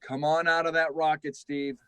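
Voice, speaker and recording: A man's voice: a little high, little pitch movement, normal speed, bright, forceful tone, smooth, dry recording. A man playing an anxious, urgent security officer at an air base in a radio drama. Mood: tense placating. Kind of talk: live radio drama acting.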